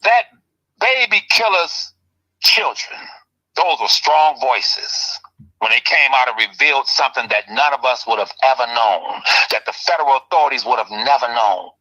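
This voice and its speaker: A man hosting a heated call-in talk show, ranting with passion and swinging between loud outbursts and low, pressing remarks. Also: animated, moderate pace, highs cut off. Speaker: commentary show host.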